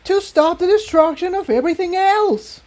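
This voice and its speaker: A man's voice: very high voice, lively intonation, normal speed, darkish tone, voice pushed up. A man voicing an earnest young female pony who argues in a hesitant but stubborn, pleading way in a storybook reading. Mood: urgent, shouting.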